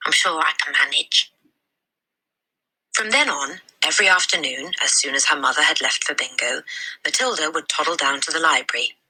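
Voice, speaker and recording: A woman reading a children's novel aloud as an expressive narrator, leaning on key words with deliberate emphasis. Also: sits low, slightly rough, poor audio. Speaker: storybook reader.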